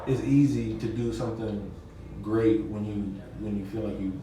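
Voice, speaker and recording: A man's voice: fairly low pitch, fairly even intonation, moderate pace. A man talking about his music production work in a casual interview, laid-back and repeating "you know what I'm saying.". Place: loud party venue. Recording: echoey, distant mic, crowd behind.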